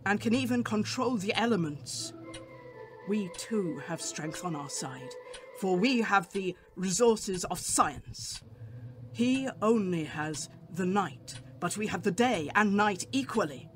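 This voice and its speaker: An actor playing a grave, commanding professor who hunts vampires in a radio drama, solemn and formal in delivery. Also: male voice, sits high, fairly bright tone, exaggerated voice.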